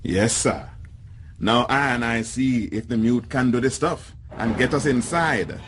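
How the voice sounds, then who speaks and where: A man's voice: medium pitch, measured pace, a bit bright, slight background noise, lightly acted. A man playing an easygoing, loyal Rastafarian spacer with a Jamaican accent and laid-back patois phrasing in a radio drama. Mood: wary, easygoing.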